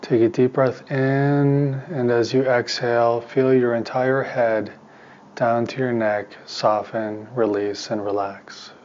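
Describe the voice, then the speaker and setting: A man teaching meditation in a soft voice, giving calm, soothing instructions in short, spaced phrases. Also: medium pitch, slow, lo-fi sound, a little hiss or hum. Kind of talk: guided meditation.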